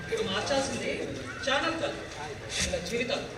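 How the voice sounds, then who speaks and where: Man acting a high-voiced earnest younger brother, echoey. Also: lively intonation, a little fast, heavy background noise. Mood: earnest, pleading. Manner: earnest, pleading. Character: earnest bereaved younger brother pleading for help. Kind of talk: one-act stage play acting. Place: echoey hall, voice far from mic. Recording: very echoey hall, distant, audience murmur.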